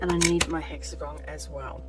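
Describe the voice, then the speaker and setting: Chatty woman with a croaky voice. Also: deep voice, fairly even intonation, moderate pace, faint music behind. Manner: chatty, thinks aloud with many ums. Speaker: craft vlogger. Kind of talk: casual craft vlog chat.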